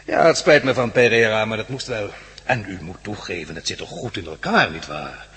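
An actor playing a smug, menacing crime villain in a radio drama, mock-polite and taunting. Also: male voice, fairly low pitch, expressive, normal speed, a bit bright, slightly rough, exaggerated voice. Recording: echoey hall reverb.

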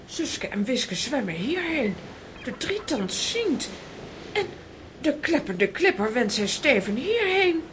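A woman's voice: high-pitched, big pitch swings, slow pace, full character voice. A woman acting an anxious, dramatic aunt in a children's audio drama. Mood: alarmed. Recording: clearly noisy background ambience.

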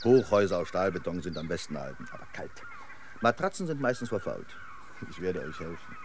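An actor playing a chatty, eccentric local guide in a decayed city, rambling and effusively hospitable in a radio drama. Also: male voice, low voice, very animated, brisk, noisy background. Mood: wistful, hospitable. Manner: effusive, rambling, quirky hospitality, chuckles to himself. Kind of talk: German radio drama acting.

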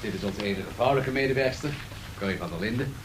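A man's voice: fairly low pitch, fast, lightly acted. A male actor playing a courteous radio host, politely and sociably making introductions in naturalistic dialogue. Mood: courteous, welcoming. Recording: faintly noisy 1970s radio studio tape.

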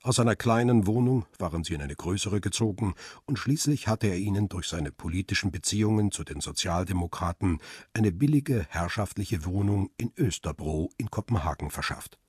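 A man's voice: deep voice, animated, moderate pace, a little mellow, slightly rough, dry recording, clean studio sound, exaggerated voice. An actor playing a world-weary middle-aged paparazzo in a crime radio play, reflective and understated as he tells his story. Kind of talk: radio drama, first-person narration and dialogue.

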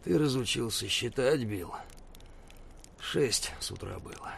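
An actor playing a steady, sceptical frontier hunter in an audio drama, calm, matter-of-fact and a little dismissive. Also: male voice, mid-range voice, a little slow, vocal fry, a little hiss or hum, full character voice. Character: Henry, steady, sceptical, gruffly patient male frontier hunter. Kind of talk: Russian audio drama acting.

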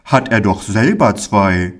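A man's voice: on the low side, sing-song, slow pace. A man voicing a kindly, fatherly village man in a storybook, speaking in a soothing, earnest, folksy way.

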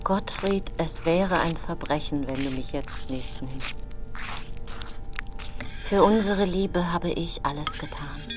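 Determined, outwardly pious mother, very slow and lowish delivery, radio play with faint music. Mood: coldly insistent. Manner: slow, deliberate, weighty. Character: mother voicing remembered words, pious yet coldly determined. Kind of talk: radio drama monologue with quoted speech.

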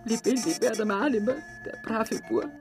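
An actress playing a blunt, stubborn, grieving middle-aged aunt with a Bavarian accent in a naturalistic radio drama. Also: female voice, fairly high pitch, brisk, over music, natural voice. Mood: bitter, accusing. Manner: blunt, insistent, wounded. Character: stubborn, indignant, grieving aunt, plain small-town Bavarian woman.

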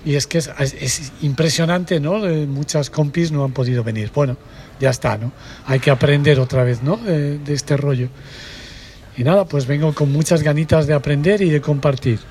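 A woman's voice: low voice, normal speed, mellow, slight background noise. Chatty, hesitant woman introducing herself. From Basque Country. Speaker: community radio presenter. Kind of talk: casual self-introduction to a group.

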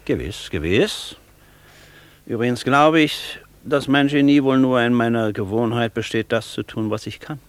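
An actor playing a proud, worldly scholar in a theatrical radio play, speaking with assured, ironic declamation. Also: male voice, mid-range voice, normal speed, no room sound, close to an everyday voice. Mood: ironic, gracious.

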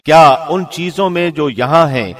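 A man narrating a scripture translation in a formal, measured, solemn announcer's delivery. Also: a little high, soft background music.

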